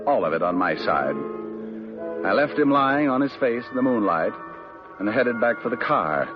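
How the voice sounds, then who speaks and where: A man's voice: medium pitch, expressive, normal speed, over music, natural voice. A man playing a wisecracking radio private eye. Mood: wry. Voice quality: dry, wry delivery. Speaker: lead detective narrator. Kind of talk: 1940s radio acting. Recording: muffled old radio broadcast.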